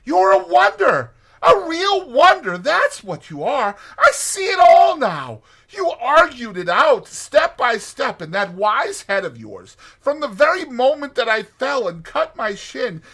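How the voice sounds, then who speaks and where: A man's voice: very high-pitched, bright, forceful tone, breathy edge, voice pushed up, heavily acted. A man voicing a naive, fussy male mole from a storybook, wordy and exclamatory in his delivery. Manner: wordy, indignant or gushing, exclamatory. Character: naive, fussy male mole, pettish yet easily amazed.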